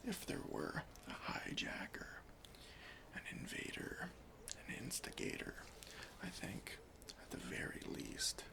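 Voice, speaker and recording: Whispering man, slow ASMR roleplay. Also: medium pitch. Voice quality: breathy whisper with some fry. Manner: slow, hushed, deliberate, with pauses. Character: lenient, deadpan male airport chief security officer. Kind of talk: whispered ASMR roleplay as an airport chief security officer.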